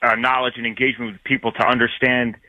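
A man with a New York accent calling into a radio show, earnest and wordy, halting with fillers. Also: mid-range voice, little pitch movement, bright, forceful tone, no room sound. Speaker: caller. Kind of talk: call-in opinion. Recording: tinny phone line.

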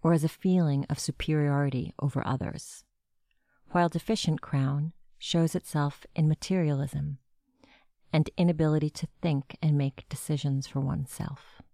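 A woman narrating a guided meditation with gentle, measured instructions. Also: low voice, steady tone, no echo, studio quality.

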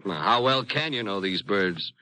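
An actor playing a breezy, wisecracking American booking agent with slangy, easygoing speech in a 1950s radio drama. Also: male voice, fairly low pitch, lively intonation, moderate pace, bright, forceful tone, no echo. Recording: slightly noisy old radio recording.

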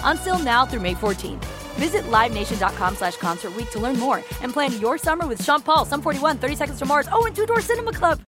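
A woman's voice: high voice, lively intonation, fast. A woman reading a scripted promotional ad as an announcer. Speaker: advertisement announcer. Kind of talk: scripted concert promotion read. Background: music under the voice.

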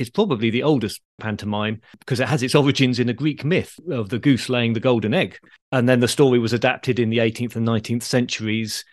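British male podcast host, mid-pitched, chatty explainer, recorded dry. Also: dry recording. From Britain. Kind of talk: conversational explaining of theatre.

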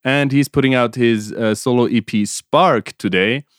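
A man's voice: medium pitch, measured pace, no echo, clean studio sound. A man co-hosting a music podcast, speaking casually, hesitating and repeating words, often laughing. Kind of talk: casual chat.

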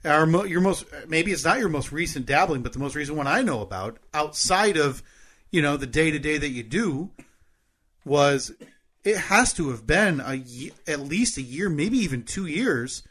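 A male podcast co-host with a fairly high, husky voice chats casually. He talks in a teasing, joking way, keeping the conversation loose and informal. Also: lively intonation, moderate pace, fairly bright tone. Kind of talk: casual chat.

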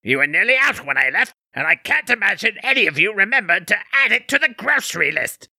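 A man playing an imperious, sneering villain overlord who berates his minions, pompous and theatrical, with a raspy, shrill voice. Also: very animated, brisk, pushed and bright, no room sound, heavily acted. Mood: pompous, scornful.

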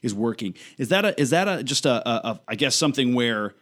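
A man's voice: mid-range voice, lively intonation, fairly quick, a bit bright. Male talk radio host, mid-range voice, wordy and repetitive when he asks things. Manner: rambling, repeats words while framing questions. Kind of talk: hosting a political talk show.

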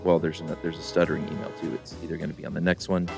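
Low-voiced laid-back male podcast host. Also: rapid-fire, gravelly, over music. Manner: rambling, relaxed, lots of fillers. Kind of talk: casual chat, reading listener mail.

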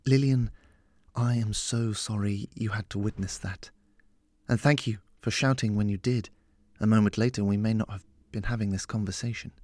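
A man voicing an aging gentleman mentor, kindly, courteous and wry, in audiobook dialogue. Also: fairly low pitch, steady tone, normal speed, no room sound, natural voice. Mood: apologetic. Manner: flippant, courteous, composed.

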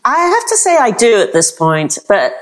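A British woman from England, a photographer guest, answering frankly and telling lively anecdotes. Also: fairly high pitch, moderate pace. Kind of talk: interview answers, storytelling.

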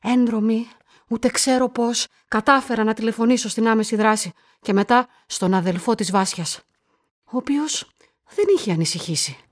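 An actress playing a murder victim's shaken sister, giving grave, halting testimony in a radio drama. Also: female voice, a little high, lightly acted. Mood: distressed.

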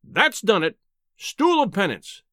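An overbearing professor from an audiobook, as a male narrator plays him. He is bullish and mocking, rumbling out orders to his wife. His intonation is lively and the sound is dry. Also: slow pace, no room sound, full character voice. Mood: sardonic, commanding. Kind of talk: audiobook character acting.

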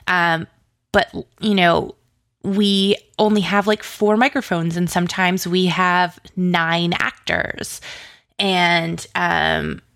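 Casting: woman, creaky-voiced, enthusiastic rambler in a casual interview, very dry close sound. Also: lowish voice, little pitch movement, slow, a bit bright, dry recording. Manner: chatty, rambling, heavy use of "like" and "you know"; effusive, enthusiastic. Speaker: interview guest, audio drama writer and producer.

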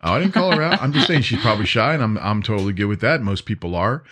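A man's voice: fairly low pitch, lively intonation, quick pace, fairly bright tone. A man hosting a podcast in a casual, easygoing and informal conversational style. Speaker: podcast host.

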